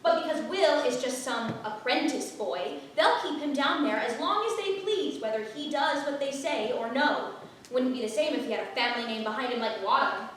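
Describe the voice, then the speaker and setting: A woman's voice: high-pitched, lively intonation, normal speed. Actress as earnest young woman, noisy echoey stage. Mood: resentful. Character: Alice, an earnest, outspoken young sweetheart of a jailed apprentice. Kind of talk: staged reading of a period stage play. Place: playhouse stage. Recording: echoey theatre from far off, noisy.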